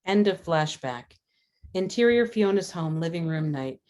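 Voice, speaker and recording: Female narrator for a script read, slightly low register, delivering screen directions. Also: expressive, normal speed, a little mellow. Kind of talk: reading screenplay stage directions.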